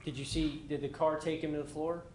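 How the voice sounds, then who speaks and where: A man's voice: a little high, flat delivery, brisk, darkish tone, roomy sound, a little hiss or hum. A man questioning a witness in court as prosecutor, methodical, with short probing questions.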